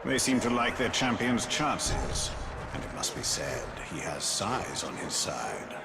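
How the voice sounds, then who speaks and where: An actor playing a proud, brooding ancient wraith in a fantasy game, solemn and portentous. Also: male voice, big pitch swings, unhurried, creaky, exaggerated voice. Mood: cool appraisal. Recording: loud ambient rumble behind.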